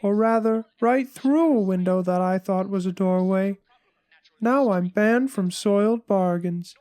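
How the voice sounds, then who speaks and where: A woman's voice: mid-range voice, measured pace, mellow, close to an everyday voice. A woman playing a humbled, put-upon oddball blogger, telling stories in a plaintive, conversational dramatic reading of a comic blog. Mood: sheepish.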